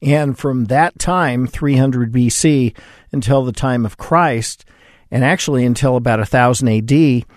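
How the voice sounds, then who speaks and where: A man hosting a religious radio segment, explaining scripture in a measured, explanatory way. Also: mid-range voice, no echo, clean studio sound. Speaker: radio show host.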